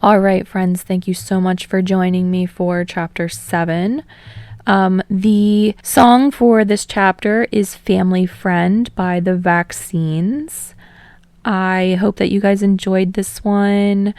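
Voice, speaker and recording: A woman narrator reading fiction aloud in an expressive, storytelling style. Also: mid-range voice, slow, no room sound. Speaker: podfic narrator.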